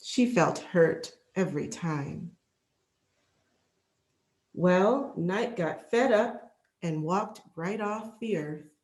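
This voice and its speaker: A woman reading a children's picture book aloud in an expressive, deliberate storytelling manner. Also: on the low side. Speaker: storybook reader, library executive. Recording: roomy, echoing sound.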